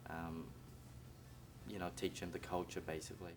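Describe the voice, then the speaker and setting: Man, Vietnamese first language, low voice, hesitant, music behind. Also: flat delivery, moderate pace. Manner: hesitant, many fillers. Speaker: community member. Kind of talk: casual interview.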